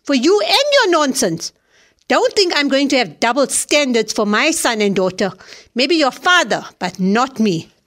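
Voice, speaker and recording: A stern, calm mother. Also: high-pitched, unhurried, exaggerated voice. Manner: stern, firm. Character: firm, calm middle-aged mother. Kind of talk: audio drama acting.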